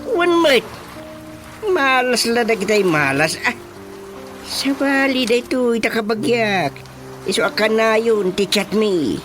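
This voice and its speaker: An actor with a Filipino Ilocano accent playing an excitable, boastful village chairman and father in a radio comedy drama, exclaiming theatrically. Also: high-pitched, unhurried, long pauses. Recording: music behind the voice.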